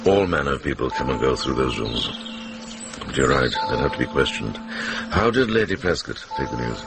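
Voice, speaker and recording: A very deep, gravelly old monk. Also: male voice, expressive, loud music behind the voice, full character voice. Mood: probing. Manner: measured, weighty, plain-spoken. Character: shrewd, kindly, plain-spoken older monk investigator. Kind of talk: radio drama acting. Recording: noisy radio drama recording.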